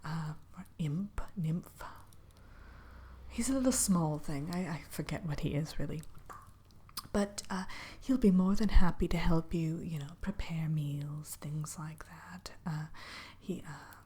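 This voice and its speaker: Slow, breathy whispering witch played by a woman. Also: low-pitched, warm tone, exaggerated voice. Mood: musing, hospitable. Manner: unhurried, hesitant musing, coyly mischievous. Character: kindly, spooky witch innkeeper welcoming a guest. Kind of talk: whispered ASMR roleplay.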